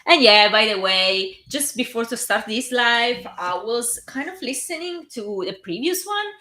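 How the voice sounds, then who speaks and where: A woman's voice: fairly high pitch, lively intonation, measured pace, pushed and bright. A woman with a strong Italian accent who reads a story aloud on a live stream, with chatty, self-conscious asides. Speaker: fan fiction reader and live streamer.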